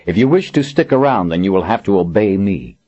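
A man's voice: sits low, expressive, brisk, mellow, voice pushed up. A man doing a cruel, arrogant usurper lord. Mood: menacing. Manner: cold, commanding, menacing. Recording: dull lo-fi audiobook recording.

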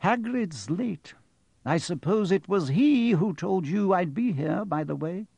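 A man voicing a wise old wizard in an audiobook, calm and firm, with slow, deliberate delivery. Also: sits high, creaky, slightly rough, no room sound, pitched above the performer's own voice, exaggerated voice. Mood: mild, matter-of-fact. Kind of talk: audiobook character voice.

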